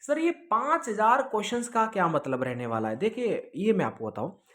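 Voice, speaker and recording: A man's voice: high voice, sing-song, normal speed, a bit bright. Male online exam coach, informal and explanatory, checks in often, code-mixes English. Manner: explanatory, repeats himself, with check-in tags; mixes English words into speech. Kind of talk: informal online lesson, answering student questions.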